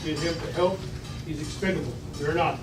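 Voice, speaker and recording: A man reading commanding villain, higher-pitched, echoey. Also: normal speed, natural voice. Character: Gargantua, commanding, scheming male villain. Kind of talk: table read of a sci-fi script. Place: restaurant dining room table. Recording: distant, echoey, noisy restaurant.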